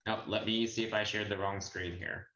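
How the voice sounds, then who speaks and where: Low-voiced man training over a roomy call. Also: flat delivery, moderate pace, bright, forceful tone. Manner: explanatory, step-by-step, addresses listeners directly. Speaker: notary trainer and signing agency presenter. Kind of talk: informal screen-share training walkthrough. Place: indoors on a group video call. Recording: muffled, roomy online call audio.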